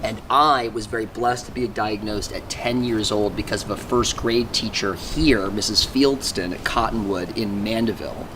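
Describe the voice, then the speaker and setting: A man from Louisiana hosting a casual group chat, animated and acting out quotes with laughter. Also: lowish voice, normal speed, hardly any pauses, noisy background. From Louisiana, raised in Texas. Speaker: host.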